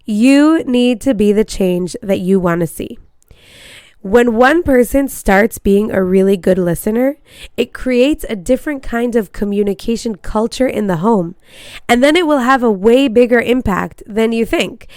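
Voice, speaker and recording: A woman hosting a coaching podcast, congested from a cold yet smooth and clear in tone. She speaks earnestly and encouragingly, a little apologetic. The sound is close and dry. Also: on the high side, a little slow, darkish tone, dry recording. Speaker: podcast host and marriage coach. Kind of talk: casual podcast monologue.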